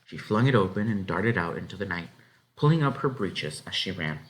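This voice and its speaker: A man reading a children's novel aloud as narrator, in an expressive, dramatic way. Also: deep voice, fairly quick, rough recording. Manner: dramatic, animated. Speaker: story reader.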